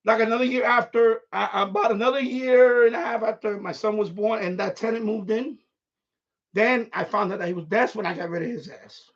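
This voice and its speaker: A man with a husky voice, telling stories in a blunt, repetitive, sweary way on a casual live stream. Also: high-pitched, fairly quick. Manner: blunt, profane, repeats himself, animated. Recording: dull, lo-fi livestream audio.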